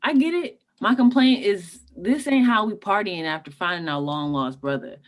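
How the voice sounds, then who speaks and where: An actress playing the groom's blunt, unimpressed, party-loving sister in a comedy table read. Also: female voice, mid-range voice, expressive, normal speed, close to an everyday voice. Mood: irked, restless. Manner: blunt, sassy, casual.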